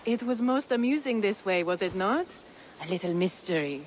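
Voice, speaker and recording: A woman playing a wry, worldly one-eyed merchant and guide in a video game, speaking in measured, formal phrases with a teasing composure. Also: mid-range voice, animated, a little hiss or hum, close to an everyday voice. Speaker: trader and guide character. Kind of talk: dramatic video game acting.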